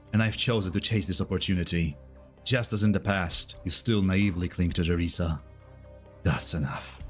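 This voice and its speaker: A man voicing a stern, disillusioned mercenary commander in a dramatic game dub, speaking gravely and deliberately. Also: low voice. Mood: bitter, convinced, then cold, probing.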